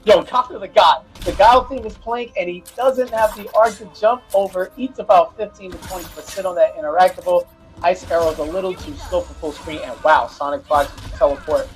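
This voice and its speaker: Hype guy calling a fighting game match, kinda high voice, faint music behind. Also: a little fast, low-quality recording. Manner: hype, loose, jokes with co-caster. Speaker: esports commentator. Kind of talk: live play-by-play commentary.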